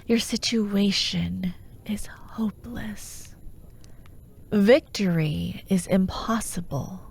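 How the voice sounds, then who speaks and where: A woman playing a menacing, persuasive fantasy warlord who haunts dreams, grandiose and coaxing, in a breathy ASMR roleplay voice that often drops toward a whisper. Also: mid-range voice, heavily acted. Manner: slow, grandiose, coaxing, mock-reasonable.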